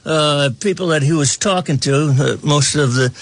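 A man's voice: on the high side, moderate pace, no room sound. A man hosting a talk show, delivering a rambling political commentary monologue with frequent restarts and chuckles.

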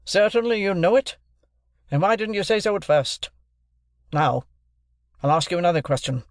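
A man voicing a bullying, sarcastic gentleman who cross-examines in a hectoring, authoritative manner for an audiobook. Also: a little high, moderate pace, dry recording, studio quality, close to an everyday voice. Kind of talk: classic novel narration, character voice.

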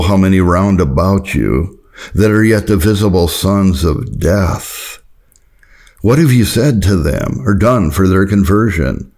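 A man's voice: sits low, a little mellow. A man reading an old Puritan sermon aloud in a solemn, earnest, exhorting manner. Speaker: reader of historical Puritan sermons.

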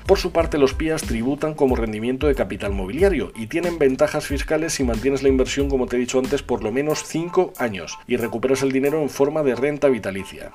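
Guy hosting a podcast, medium pitch, explains stuff like a teacher straight to you, music in the back. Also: a little mellow. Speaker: podcast host. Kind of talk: explanatory scripted narration for a personal finance podcast.

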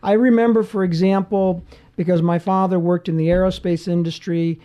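A middle-aged man on a radio talk show, speaking in a measured, halting way with restarts. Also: sits high, fairly even intonation, warm tone. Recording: dull lo-fi sound.

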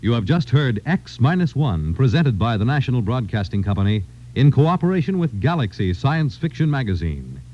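A man's voice: mid-range voice, mellow, dull audio. A man announcing in 1950s network radio style, on an old broadcast recording.

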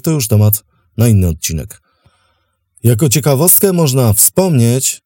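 Mellow male documentary narrator, roomless dry sound. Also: medium pitch, dry recording. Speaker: host and narrator of a history podcast. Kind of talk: scripted historical documentary narration.

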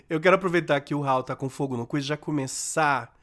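A man's voice: on the high side, brisk, a bit bright. A Brazilian man co-hosting a podcast, chatting in a playful, camp way and laughing often. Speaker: podcast co-host. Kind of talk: campy casual chat.

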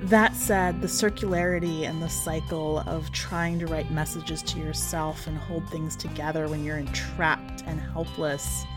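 A woman's voice: low voice, little pitch movement, measured pace, few pauses, music in the background. A woman hosting a podcast, giving informal commentary and talking directly to listeners in a conversational way. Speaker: podcast host and editor.

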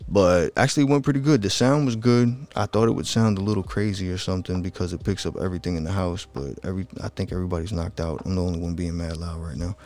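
A man streaming from home at night, talking in a rambling, easygoing way with lots of fillers, his voice a bit raspy. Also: low voice, steady tone, fast talker, few pauses, a little mellow. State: slightly raspy late at night. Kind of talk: casual solo livestream talk.

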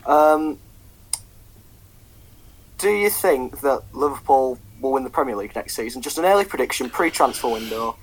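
A man's voice: mid-range voice, expressive, moderate pace, long pauses, slightly rough, rough recording. Young man, casual football chat, swears a lot, lots of ums. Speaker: podcast co-host.

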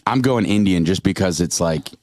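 A man co-hosting a podcast, bantering in quick, slangy casual chat. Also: sits low, expressive, darkish tone, no room sound, clean studio sound. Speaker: podcast co-host.

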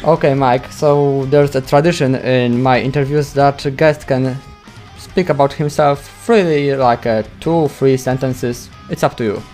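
A man with a Polish accent hosting a metal interview, native Polish, halting and searching for his English words. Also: mid-range voice, steady tone, a little mellow, soft background music. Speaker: interview host.

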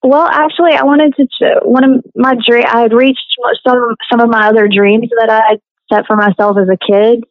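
Hesitant woman with a gravelly voice. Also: a little high, steady tone, normal speed, no echo, narrow, tinny audio. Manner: hesitant, many ums and uhs. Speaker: guest, singer and actress. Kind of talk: casual interview chat.

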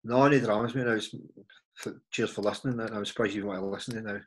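A man in his forties with a Glaswegian Scottish accent, a podcast guest talking casually and haltingly, repeating words and filling pauses. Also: on the low side, steady tone. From Scotland. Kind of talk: casual interview chat.

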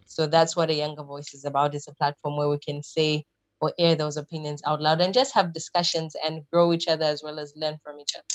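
A woman in her thirties from Zambia speaks as a podcast guest. Her voice is low and level, and she explains things thoughtfully with plenty of fillers. The recording is dry, with faint music underneath. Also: flat delivery, a little fast, no echo. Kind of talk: conversational interview answers.

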